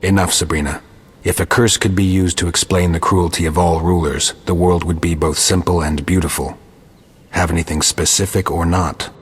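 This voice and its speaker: Stoic monster hunter, deep male voice, very rough and husky, terse deadpan sarcasm, game dialogue, long reverb. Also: normal speed. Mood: sardonic, terse. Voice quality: gravelly, husky. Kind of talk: scripted video game dialogue.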